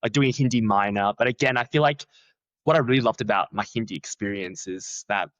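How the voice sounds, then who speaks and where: A young man who is a researcher, talking in a chatty, animated way and filling his sentences with "like.". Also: fairly quick, no echo, highs cut off. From Australia. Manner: chatty, many "like" fillers, lively swings in pitch. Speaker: researcher, podcast guest. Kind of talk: casual conversational interview.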